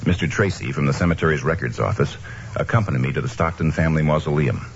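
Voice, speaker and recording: A man's voice: rumbling low, quick pace. A man playing a kindly, determined young newspaperman in an old-time radio drama, acting and narrating in a matter-of-fact, clipped way. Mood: brisk narration. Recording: dull, noisy old radio recording.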